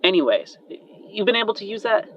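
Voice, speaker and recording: A man's voice: sits high, big pitch swings, quick pace, slight background noise, pitched above the performer's own voice. A man voicing an eager, chatty female inventor who is loyal and worried, speaking in a hesitant, rambling way. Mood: excited, chatty. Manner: hesitant, rambling, trailing off and sighing. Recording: muffled band-limited audio under music.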